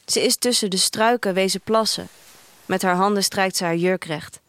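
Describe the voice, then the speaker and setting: A woman's voice: medium pitch, normal speed, a bit bright, no room sound, lightly acted. Introspective woman narrating a bleak future , acted out. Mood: somber, reflective. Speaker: voice actor in an audio drama. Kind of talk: literary first-person audio drama monologue.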